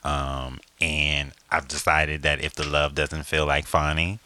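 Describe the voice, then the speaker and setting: A man co-hosting a podcast in casual chat, playful and laughing often, jumping between loud bursts and quiet asides. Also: extremely low pitch, flat delivery, a little slow, clear, resonant tone, no room sound. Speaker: podcast co-host.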